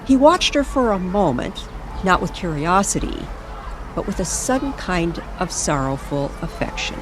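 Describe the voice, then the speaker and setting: Low-voiced woman narrating an eerie story. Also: big pitch swings, noisy background. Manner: measured, dramatic storytelling. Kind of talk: scripted narration of an eerie, nostalgic radio-style story. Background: music under the voice.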